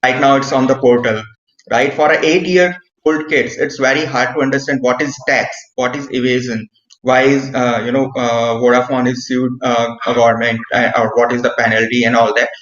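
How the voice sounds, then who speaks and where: A man's voice: medium pitch, steady tone, moderate pace, fairly bright tone. Man on a roomy, dull video call. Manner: hesitant, many "uh" fillers, asks "right?" often. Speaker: meeting participant giving feedback. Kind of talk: online meeting feedback.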